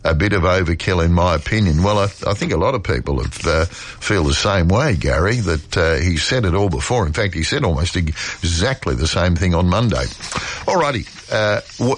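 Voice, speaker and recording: An Australian man presenting a radio talk show in a conversational, slightly hesitant way. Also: on the low side. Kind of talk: talkback radio presenting, reading listener messages. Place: radio studio. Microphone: close studio microphone.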